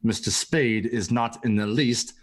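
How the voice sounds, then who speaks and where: A man's voice: fairly low pitch, unhurried. A man playing an obsequious, florid and placating criminal henchman in a noir radio play. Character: smooth-talking, obsequious male criminal henchman.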